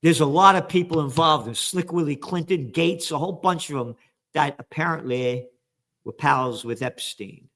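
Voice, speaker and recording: An older man reads out news headlines and tears into them with angry, profane sarcasm. His voice sits a little high for a man and swings from low grumbling mutters to loud outbursts. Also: normal speed. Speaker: trends commentator and host. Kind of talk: ranting news commentary read from headlines.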